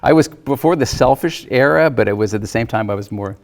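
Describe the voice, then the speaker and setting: A man in his fifties talking casually about a film as a guest, in a halting way with repeated, restarted phrases. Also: mid-range voice. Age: 50s.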